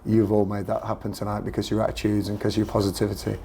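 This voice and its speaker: Man, croaky, earnest, low-pitched, faint background noise. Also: monotone, fast, warm tone. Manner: earnest, repeats himself while searching for words. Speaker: football manager. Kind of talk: post-match press interview.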